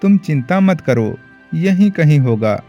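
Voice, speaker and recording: Guy as humble timid farmer in a kids cartoon, earnest n slow, bit muffled audio. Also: a little high, expressive, mellow, soft background music. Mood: concerned. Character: earnest, timid poor farmer, devoted family man. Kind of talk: Hindi animated moral story acting.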